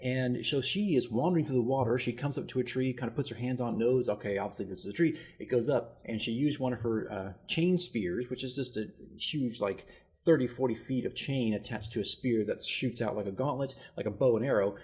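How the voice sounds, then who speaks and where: A man with a slightly husky voice at a middle pitch tells a story in a casual, rambling way. He sounds like a game master keeping a diary, animated and self-deprecating. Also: fairly quick. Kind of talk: casual solo storytelling recap.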